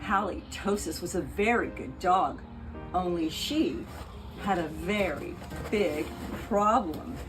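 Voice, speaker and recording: A woman reading a children's picture book aloud in an expressive, deliberate way, with playful asides to her listeners. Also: lowish voice, over music. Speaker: picture book reader for a pet rescue show. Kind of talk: animated children's storybook read-aloud.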